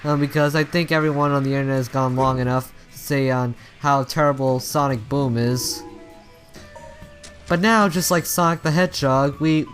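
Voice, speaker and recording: A man's voice: medium pitch, fairly even intonation, moderate pace, soft background music. A man giving casual let's play commentary, rambling and often stumbling and rephrasing as he goes. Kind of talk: casual video game commentary.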